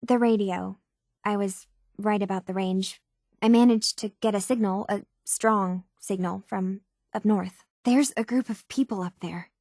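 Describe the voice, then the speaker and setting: A woman's voice: medium pitch, steady tone, a little slow, a little mellow, dry recording, clean studio sound. An actress playing an earnest, troubled young woman unsure of who she is, speaking in a hesitant, sincere way for video game dialogue.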